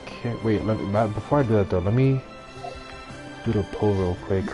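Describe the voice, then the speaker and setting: A man's voice: on the low side, fairly quick. A young man giving casual, slangy, rambling commentary as he streams a game. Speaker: gaming streamer. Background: game music.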